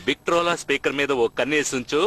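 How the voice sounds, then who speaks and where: A man playing an excitable, boastful middle-aged dad in a cartoon dub, exclaiming and showing off. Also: a little high, fast talker, natural voice. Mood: boastful, eager. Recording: loud music behind the voice.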